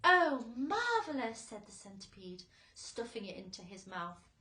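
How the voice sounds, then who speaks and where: A woman's voice: medium pitch, steady tone, normal speed, fairly bright tone, echoey room, low-quality recording. A woman teacher reading a children's story aloud expressively for young listeners.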